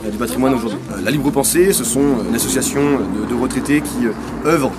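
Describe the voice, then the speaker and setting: A man's voice: medium pitch, brisk. A man in his twenties, president of an activist group, giving a hesitant street interview full of fillers. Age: 20s. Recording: loud street noise.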